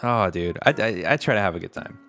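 Gravelly low-voiced gaming host. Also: male voice, expressive, fast talker, fairly bright tone, faint music behind. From Puerto Rico. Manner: laid-back, chatty, laughs often, talks to viewers. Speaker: let's play host. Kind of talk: casual gaming commentary. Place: home gaming room, indoors. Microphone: desk microphone with webcam.

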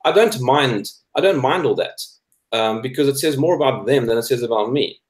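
A man's voice: mid-range voice, lively intonation, moderate pace. A South African man in his thirties giving opinions in a debate chat, emphatic and repeating phrases for stress. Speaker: guest, cartoonist. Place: indoor room, video call. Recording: echoey room over video call.

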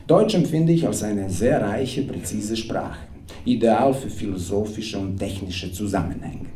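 A man's voice: on the low side, unhurried, dark tone. A man in his thirties, a native Serbian speaker, reading his own comic text aloud to a live audience at a stand mic on a small club stage, with a wry, playful delivery. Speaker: author reading from his book. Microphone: stand mic at a table. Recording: roomy club with audience noise. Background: audience laughter.

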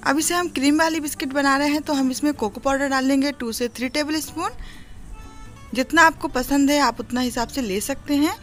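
A woman's voice: sits high, fairly even intonation, fairly quick, a bit bright. A woman talks through a recipe as a home cooking host. She explains each step in an instructive way. Faint music plays behind her narration.

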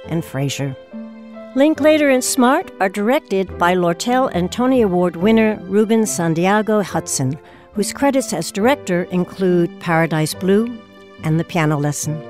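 A woman's voice: lowish voice, a little mellow. A woman hosting an audio drama series, reading a scripted introduction. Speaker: host introducing a radio play. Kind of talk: scripted narration. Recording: music behind the voice.

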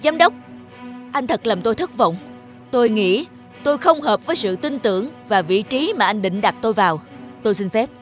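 A woman's voice: fairly high pitch, animated, moderate pace, soft background music, close to an everyday voice. A woman voicing a principled male factory employee in a cartoon, earnest and forthright in manner. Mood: indignant, disappointed. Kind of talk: cartoon voice acting.

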